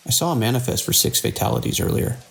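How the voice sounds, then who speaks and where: Weary, authoritative male hospital director for audio drama, played by a man. Gravelly, expressive delivery. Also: medium pitch, fast talker, slight background noise, exaggerated voice. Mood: concerned. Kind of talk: radio drama acting.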